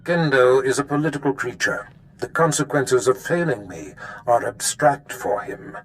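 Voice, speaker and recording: An actor playing a commanding, ruthless Sith master in a video game, speaking in a measured, formal, imperious way. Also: male voice, medium pitch, animated, fairly bright tone, a little hiss or hum, low-quality recording. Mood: stern command. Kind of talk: video game cutscene acting.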